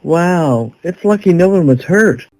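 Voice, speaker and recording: A man playing a composed, pragmatic newspaper boss with a measured delivery in an audio drama. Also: high-pitched, animated, darkish tone, poor audio. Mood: composed, dry.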